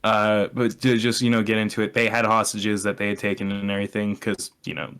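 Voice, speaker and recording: A man's voice: fairly low pitch, level tone, a little fast, fairly bright tone, clear, resonant tone. A young man chatting casually as a podcast co-host, explaining his opinions in a sardonic way and swearing freely.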